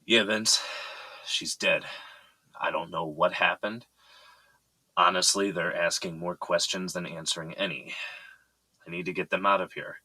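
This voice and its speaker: A man's voice: sits low, steady tone, a little slow, pauses often, sharp tone, husky, no echo, exaggerated voice, rough recording. An actor playing a composed, evasive but polite businessman in an audio drama. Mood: urgent, worried.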